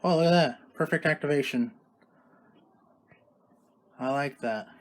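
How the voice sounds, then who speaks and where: A man hosting a home vlog about weather radio tests, talking casually and loosely with halting restarts. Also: on the high side. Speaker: YouTube host recording radio tests.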